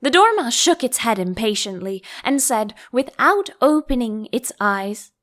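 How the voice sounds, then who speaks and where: A woman narrating a storybook audiobook in an expressive style. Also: a little high, a little slow.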